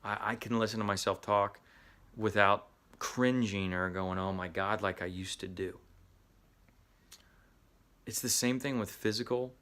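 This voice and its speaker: A middle-aged man with a Southern US accent speaks earnestly to camera, coaching actors. His voice sits a little low, and he often repeats and restarts words as he goes. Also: fairly even intonation, a little slow, long pauses, sharp tone. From US Midwest. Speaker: acting coach. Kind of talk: motivational talk to camera.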